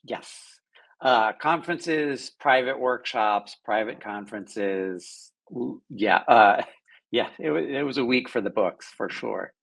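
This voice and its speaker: Raspy, tired woman laughing easily. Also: extremely low pitch, slow. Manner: laughing easily, hesitant with restarts. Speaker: podcast co-host. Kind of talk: conversational podcast talk.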